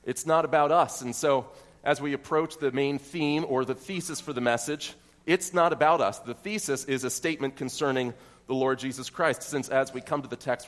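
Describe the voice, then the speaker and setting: A man's voice: medium pitch, big-room sound. An American man from central Pennsylvania preaching an expository sermon as pastor, earnest and methodical, often posing rhetorical questions. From central Pennsylvania, USA.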